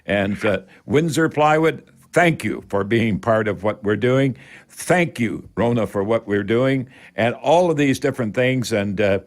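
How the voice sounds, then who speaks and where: An older man with a Canadian accent hosts a talk radio show about home improvement. His delivery is folksy and rambling, with emphatic phrasing aimed right at the listeners. His pitch sits in the middle range for a man. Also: a little slow.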